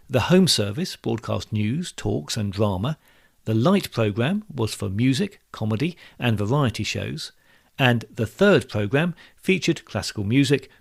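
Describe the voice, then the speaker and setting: A man's voice: on the low side, vocal fry. A British man hosting a podcast, giving scripted narration and putting questions to a guest. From United Kingdom. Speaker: podcast host and interviewer.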